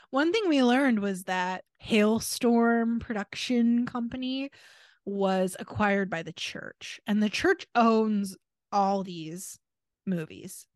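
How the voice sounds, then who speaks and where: A woman's voice: medium pitch, no room sound, studio quality. An American woman co-hosting a podcast in casual chat, telling stories in a chatty, wry way. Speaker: podcast co-host.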